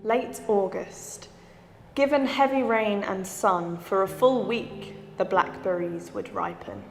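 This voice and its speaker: A woman recites poetry at an extremely slow, deliberate pace. Each phrase is set apart and hangs in a reverberant, echoing hall. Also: medium pitch, sing-song, faint music behind. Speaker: poetry reader. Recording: echoey hall with long reverb.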